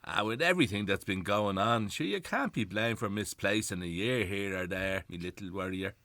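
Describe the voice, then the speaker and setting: A man's voice: fairly low pitch, expressive, normal speed, pushed and bright, dry recording. An actor playing a retired Irish policeman, a loving but defiant old husband, in a radio play. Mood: reassuring, affectionate. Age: older.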